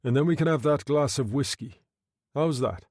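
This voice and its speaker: A man voicing a calm, quietly menacing Irish gentleman who speaks in a soft, conversational tone. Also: medium pitch, moderate pace, no room sound, studio quality, close to an everyday voice. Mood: quiet menace.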